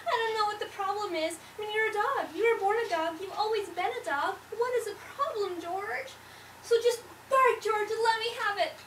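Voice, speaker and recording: A woman's voice: very high voice, moderate pace, fairly bright tone, voice pushed up. A woman voicing an exasperated, melodramatic mother dog who wails and pleads in a children's storybook read-aloud. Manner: dramatic wailing and pleading. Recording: roomy, distant mic, faint background noise.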